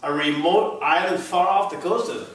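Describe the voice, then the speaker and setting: A man's voice: high voice, moderate pace, fairly bright tone. A man reading a laid-back, slangy, beer-loving beach bro in a play, in an echoey room. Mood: carefree. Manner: casual, slangy. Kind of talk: script read aloud at a play reading. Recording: echoey room, far from mic, faint noise.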